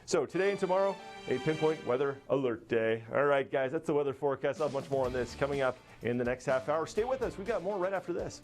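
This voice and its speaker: An American man presents the weather on live television in a slightly high voice. He talks briskly and casually, in an upbeat way, with music running behind him. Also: expressive. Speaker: TV weather forecaster. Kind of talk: live TV weather forecast, conversational.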